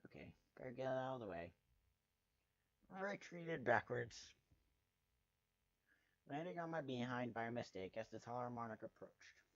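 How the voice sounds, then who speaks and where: A man's voice: medium pitch, big pitch swings, normal speed, pauses often, fairly bright tone, no echo. Sardonic male story reader, slightly dull sound. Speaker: fan fiction reader. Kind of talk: dramatic first-person story narration.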